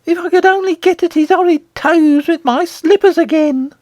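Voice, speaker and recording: Man putting on a shrill, imperious goblin queen. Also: moderate pace, darkish tone, no echo. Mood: peevish. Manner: haughty, domineering.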